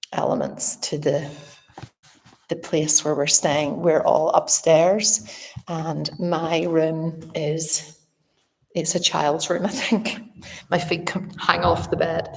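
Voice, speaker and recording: A Northern Irish woman talks straight to her live viewers in a relaxed, chatty way. Her voice sits low with a gravelly fry. The big old house she records in gives a long, echoing reverb. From Northern Ireland. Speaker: artist livestreaming to viewers. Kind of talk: casual live chat to viewers. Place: large old house, indoors.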